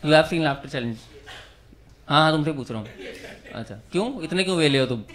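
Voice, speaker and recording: High-voiced man roasting a comedy crowd. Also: animated. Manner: teasing, quick banter with audience. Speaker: stand-up comedian. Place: comedy club stage with a live audience. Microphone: handheld mic.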